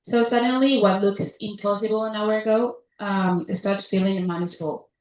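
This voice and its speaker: A woman's voice: medium pitch, fairly even intonation, muffled recording, slight background noise. Woman, distant in echoey hall. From Spain. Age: young adult. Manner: halting, stops to correct herself. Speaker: student presenter. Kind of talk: conference presentation. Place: conference hall.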